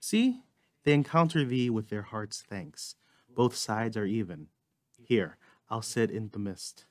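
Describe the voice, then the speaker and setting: A man playing a guilt-ridden usurper king in a Shakespearean dramatic reading, with measured, declamatory verse delivery. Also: fairly low pitch, animated. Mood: hearty, hosting. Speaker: amateur actor in a library's dramatic reading.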